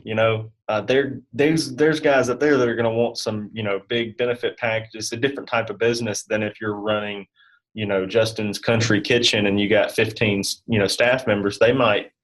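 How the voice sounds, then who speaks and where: A man with a fairly low voice talks as an interview guest. His delivery is casual and halting, loosely phrased as he offers advice. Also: fairly even intonation, fairly bright tone, rough recording. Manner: halting, loose, peppered with "you know". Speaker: guest advisor.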